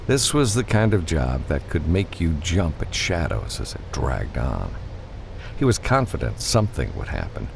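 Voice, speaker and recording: A man's voice: very deep voice, vocal fry, darkish tone, a little hiss or hum. A man reading scripted fiction as an audiobook narrator.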